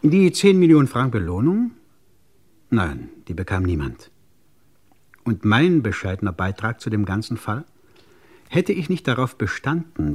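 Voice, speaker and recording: Wry, husky old-radio police commissioner. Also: male voice, on the low side, darkish tone. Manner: theatrical, rhetorical, addressing listeners in measured storytelling. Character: wry, self-satisfied middle-aged French police commissioner recounting his case. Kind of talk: 1950s German radio crime drama acting. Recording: 1950s radio recording.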